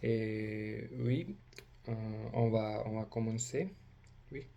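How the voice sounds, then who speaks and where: A man with a non-native accent practising a polite role-play inquiry as a language learner, speaking haltingly with many hesitations. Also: lowish voice, vocal fry, dark tone, highs cut off. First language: not French.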